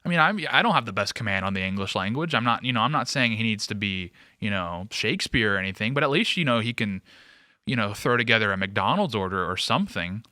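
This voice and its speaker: A man's voice: on the low side, lively intonation, quick pace, bright, forceful tone, no room sound, studio quality. A man hosting a political commentary show, talking casually and off the cuff in a sarcastic, mocking way.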